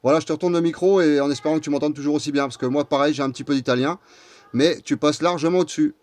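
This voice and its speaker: A man's voice: fairly high pitch, fairly even intonation, very fast, a little mellow, dry recording. A man chatting casually and colloquially as an amateur radio operator into a close microphone. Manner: informal, colloquial.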